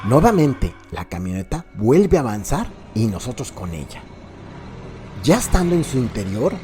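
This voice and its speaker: A man with a Mexican accent giving theatrical, descriptive scripted narration for a radio crime serial. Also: mid-range voice. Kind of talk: dramatized scripted narration, present-tense guided tour. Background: background music.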